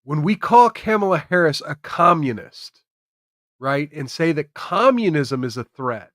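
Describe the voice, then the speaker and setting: Man, American accent, fairly high pitch, dry sound, emphatic opinionated streamer, repetitive phrasing. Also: big pitch swings, measured pace, a bit bright, no room sound. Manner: emphatic, repeats phrases for effect. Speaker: host of a live political commentary stream. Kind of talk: opinionated political commentary to camera.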